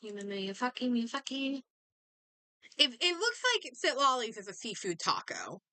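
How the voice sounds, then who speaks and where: A woman's voice: fairly high pitch, sing-song, unhurried, pushed and bright, no echo. A woman game streamer chatting casually as she plays, muttering and thinking aloud to herself. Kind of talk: casual livestream chat while playing a game.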